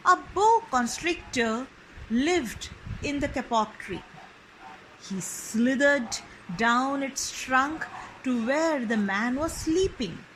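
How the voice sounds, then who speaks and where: A woman storyteller reading a children's book expressively and deliberately, phrase by phrase. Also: high voice, lively intonation, a bit bright, a little hiss or hum, rough recording. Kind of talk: expressive scripted storybook narration.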